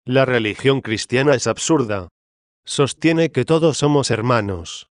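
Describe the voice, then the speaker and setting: Un hombre que pone voz al espíritu de una reina difunta, orgullosa y desdeñosa, que responde con altivez. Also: male voice, mid-range voice, lively intonation, unhurried, no echo, studio quality, close to an everyday voice. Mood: haughty. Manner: disdainful, imperious. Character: haughty dead queen's spirit, woman, proud, scornful.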